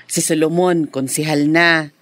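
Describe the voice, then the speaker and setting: A woman's voice: sits low, fairly even intonation, measured pace, a bit bright, no room sound. A woman playing a teasing older Filipino woman, a chatty longtime friend in a radio drama. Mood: teasing, amused.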